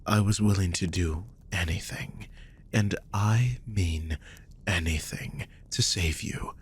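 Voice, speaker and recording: A man playing a guilt-ridden, tender supernatural protector in an intimate audio drama, speaking gently and hesitantly with a husky, breathy voice that slips toward whispers. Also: low-pitched, a little hiss or hum. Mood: solemn, remorseful. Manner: gentle, hesitant, sighing delivery.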